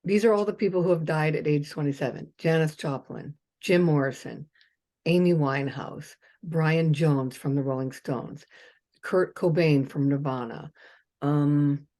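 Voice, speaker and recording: A woman's voice: sits low, a little slow, dark tone. A woman in her fifties hosting a casual chat, blunt and chatty, swearing freely and reeling off lists. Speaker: host.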